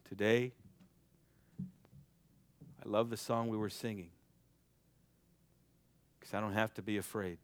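A young adult man preaching a sermon, swinging from loud, shouted exhortation to quiet, intimate passages. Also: fairly low pitch, level tone, slow pace, long pauses, a little hiss or hum.

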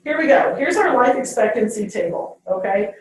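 A woman's voice: a little high, expressive, moderate pace. A woman teacher giving a classroom lecture, checking in with "okay?" after each point. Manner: ends phrases with "okay?". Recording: very echoey classroom, distant mic.

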